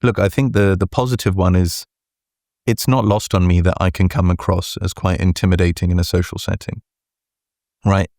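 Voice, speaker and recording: A man with a deep voice answering interview questions as a guest, measured and deliberate, often checking in with "right?". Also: fairly even intonation, darkish tone, no echo, studio quality. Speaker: venture capital partner, guest.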